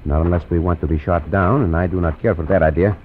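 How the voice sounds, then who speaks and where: An actor playing a calm, cunning caballero hero who speaks formal English, in an old radio drama. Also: male voice, deep voice, close to an everyday voice. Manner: formal, unhurried English with Spanish words. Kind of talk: 1940s radio acting. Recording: muffled old radio with faint hiss.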